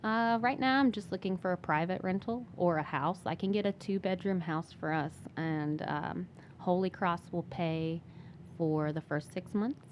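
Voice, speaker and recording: A woman, a guest on a podcast, talking casually and softly with many fillers and restarts. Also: fairly low pitch, moderate pace, slight background noise. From Michigan. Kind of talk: casual interview talk.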